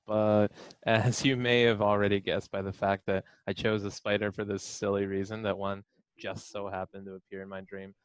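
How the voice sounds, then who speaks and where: Guy with a lowish voice chatting on a panel, reads answers out, dry close sound. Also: clean tone, no room sound, poor audio. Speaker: panelist reading out an author's answers. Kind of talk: casual panel chat.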